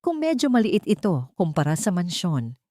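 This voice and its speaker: A woman voicing a gentle, earnest wealthy man, protective and soft-spoken, in an audiobook romance. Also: fairly low pitch, big pitch swings, moderate pace, dry recording, studio quality. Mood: tender, apologetic.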